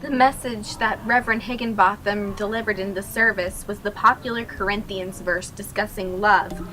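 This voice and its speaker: A young woman reporting the news, with stand-ups on location and voice-over narration in a clear, measured delivery. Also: medium pitch, fairly even intonation, sharp tone, slight background noise, poor audio.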